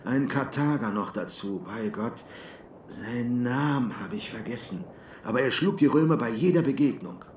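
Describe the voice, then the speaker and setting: Man acting weary, thoughtful barbarian warrior, raised pitch. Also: lively intonation, a little mellow, a little hiss or hum, natural voice. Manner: slow, deliberate. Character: weary thoughtful male barbarian warrior, slow-spoken and wary. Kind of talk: audiobook character acting.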